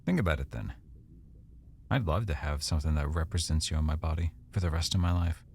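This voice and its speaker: A man playing an affectionate, teasing, easily embarrassed boyfriend in an intimate, conversational ASMR roleplay, speaking softly and a little hesitantly. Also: very deep voice, a little fast, creaky, a little hiss or hum. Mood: fond, teasing.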